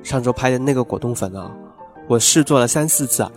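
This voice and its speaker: A man's voice: medium pitch, a little fast, music in the background, lightly acted. A man playing a playful, lovestruck young male customer in an audio drama, with an eager, coaxing manner. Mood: discouraged, bashful. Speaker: voice actor in an amateur radio drama. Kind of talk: Chinese audio drama acting.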